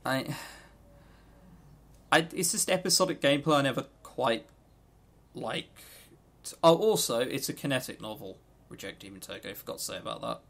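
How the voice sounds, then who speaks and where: Creaky-voiced man chatting casually. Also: medium pitch, animated, normal speed, long pauses. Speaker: podcast co-host. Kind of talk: casual game review chat.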